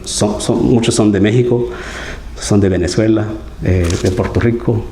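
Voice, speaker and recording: A man's voice: fairly low pitch, fairly even intonation, moderate pace, mellow, slight background noise. A man from Guatemala, giving an earnest, conversational sermon as a lay preacher and often asking his listeners for agreement. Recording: roomy church hall echo.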